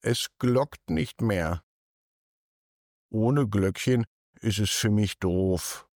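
A man voicing a small, sad little rabbit with a squeaky, piping voice in a bedtime story. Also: extremely slow, darkish tone, no room sound, studio quality.

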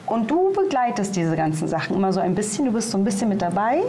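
A woman's voice: mid-range voice, very animated, fast, mellow. A woman hosting a casual interview in a chatty, conversational way with enthusiastic interjections. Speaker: podcast host interviewing a guest. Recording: clear ambient noise behind voice.

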